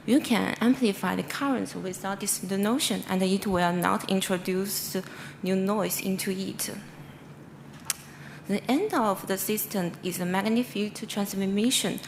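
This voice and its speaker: Halting Chinese-accented woman presenting, noisy recording. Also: medium pitch, gravelly. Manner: halting, non-fluent English with stumbles and self-corrections. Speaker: researcher presenting a paper. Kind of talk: academic conference presentation.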